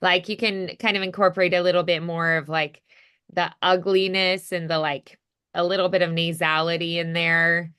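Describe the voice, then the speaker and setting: A woman's voice: lowish voice, little pitch movement, normal speed, sharp tone, clean tone. A woman coaching voice acting in a casual one-on-one lesson, thinking aloud and encouraging as she goes.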